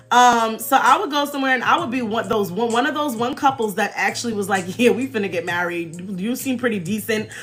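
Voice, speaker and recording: An American woman in her forties hosting a casual, chatty livestream with animated, emphatic delivery and informal slang. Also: on the high side. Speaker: livestream host. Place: small indoor room, backdrop behind. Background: faint music.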